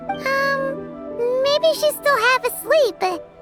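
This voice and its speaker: A woman voicing a small, cheerful, chatty fairy companion with a squeaky childlike voice in an anime video game. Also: very slow, exaggerated voice. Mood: uneasy. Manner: perky, refers to herself in third person. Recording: game music behind voice.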